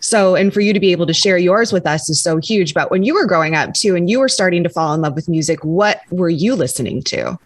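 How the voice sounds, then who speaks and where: A woman's voice: on the low side, expressive, fast, hardly any pauses, no room sound. Bubbly American woman radio host. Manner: bubbly, laughs easily. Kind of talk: upbeat radio interview.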